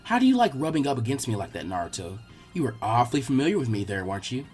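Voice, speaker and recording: Man voicing a sly, husky teenage ninja girl. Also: medium pitch, very animated, quick pace, a little mellow, soft background music, voice pushed down. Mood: teasing. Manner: teasing, sly.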